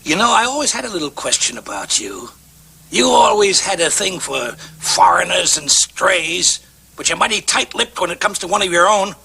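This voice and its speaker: A sneering troublemaker from a Western town. His voice is high and gravelly, with a sharp, taunting edge fit for television drama. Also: very animated, normal speed, exaggerated voice, poor audio. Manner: taunting, needling.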